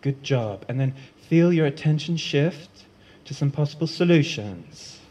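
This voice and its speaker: A man voicing a calm, soothing wellness-guru type who coaches gently. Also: a little high, a little slow. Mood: coaching.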